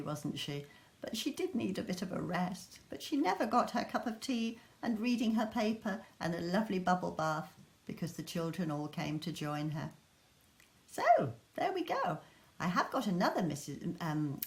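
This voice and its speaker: Animated woman storyteller in an echoey room. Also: deep voice, vocal fry. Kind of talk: expressive children's story reading.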